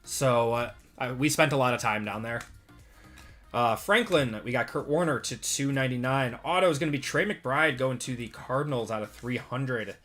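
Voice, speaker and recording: A man's voice: medium pitch, normal speed, soft background music. A man in his thirties from Wisconsin with a Midwestern accent, hosting a live card break stream and chatting with viewers as he reads out cards. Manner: relaxed, chatty, reads out cards and answers chat. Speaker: sports card break host. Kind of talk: live-stream chat while opening cards.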